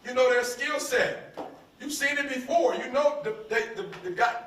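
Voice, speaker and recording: A man's voice: very high-pitched, moderate pace, fairly bright tone, far from the mic. An American man giving a live motivational speech to a room, emphatic and repeating his phrases call-and-response style. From United States. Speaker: motivational speaker. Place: large room with audience. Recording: roomy hall, voice from off-mic.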